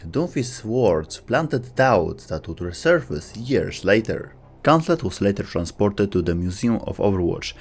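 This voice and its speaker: A man giving scripted, documentary-style narration for a game lore video. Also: on the low side.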